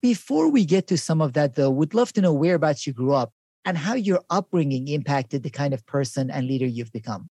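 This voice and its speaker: A man's voice: a little high, expressive, brisk, mellow, no echo. A man hosting a podcast interview, asking long, appreciative questions. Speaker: podcast host.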